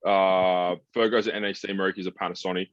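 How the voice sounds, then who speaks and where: A man's voice: deep voice, little pitch movement, fast, no echo. A man hosting a sports podcast in casual conversation, talking in a blunt, laid-back, matey way. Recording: slightly dull, lo-fi sound.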